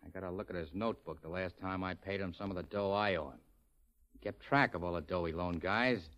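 An actor playing a pushy, needling show magician with slangy, confrontational talk in a 1940s radio drama. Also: male voice, low-pitched, fairly quick, fairly bright tone. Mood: needling, hostile. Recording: dull old radio recording.

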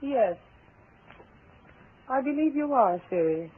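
Narrow old radio sound, cold scheming wife, very high voice, swooping intonation, arch, melodramatic mystery delivery. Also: female voice, lively intonation, takes it slowly, breathy edge, exaggerated voice. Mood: cool, mocking. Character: cold, scheming unfaithful wife, elegant and mocking. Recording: tinny old-time radio broadcast.

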